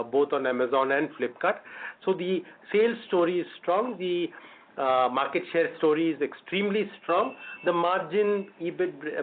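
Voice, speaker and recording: A man speaking as a company executive answering questions on an earnings call, halting and often repeating words as he builds his answers. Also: on the high side. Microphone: phone or conference line. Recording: phone-line conference call audio.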